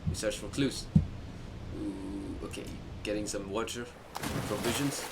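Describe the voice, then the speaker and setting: A man's voice: on the low side, unhurried. A man giving casual playthrough commentary, thinking aloud and talking back to the game as he goes. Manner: reactive, muttering to himself and answering the game. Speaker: gaming video host. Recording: clearly noisy background.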